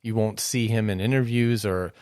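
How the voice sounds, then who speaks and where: A man's voice: on the low side, steady tone, normal speed, darkish tone, smooth, dry recording, clean studio sound. A man in his forties hosting a podcast, laughing often and talking with many fillers and hesitations. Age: 40s. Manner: giggly, hesitant, full of fillers. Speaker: podcast host. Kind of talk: casual interview chat.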